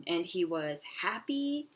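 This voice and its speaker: Slow-paced woman teacher, noisy recording. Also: fairly low pitch, very animated. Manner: encouraging, instructional, simple phrasing with frequent questions. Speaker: elementary school teacher. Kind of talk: read-aloud lesson, questioning young pupils.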